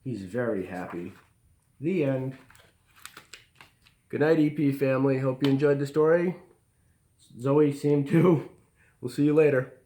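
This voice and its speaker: A man reading a storybook aloud for bedtime, expressively, with pauses for emphasis and asides to his listeners. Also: medium pitch, mellow, reverberant. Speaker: bedtime story reader for a school community.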